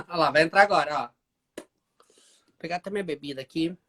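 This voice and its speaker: A Brazilian man hosts a live stream in a casual, animated way and speaks straight to his audience. His Brazilian Portuguese accent carries into his English. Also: fairly high pitch, moderate pace, a little hiss or hum. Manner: chatty, animated, addresses viewers as "gente". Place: home in the UK.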